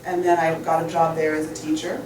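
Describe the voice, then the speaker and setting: A woman teacher from Indonesia talks to parents in a loose, informal way, with a lowish voice. She is recorded from across an echoey classroom, and a steady background hiss sits under her words. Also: fairly quick, recorded from a distance. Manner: informal, with fillers. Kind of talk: addressing parents at a school open evening.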